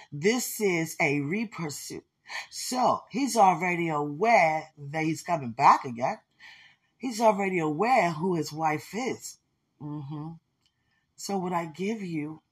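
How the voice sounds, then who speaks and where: A woman's voice: mid-range voice, husky. A woman podcast host giving informal, rambling faith talk, speaking intimately, as if to someone she loves. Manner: speaks intimately, as if to a loved one.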